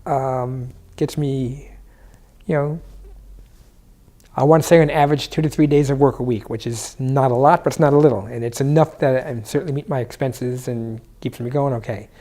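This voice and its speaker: An older man from New York City, a freelance audio engineer, talking in a relaxed, reflective way in a documentary interview, sitting in a living room. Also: medium pitch. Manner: relaxed, reflective, with pauses and fillers.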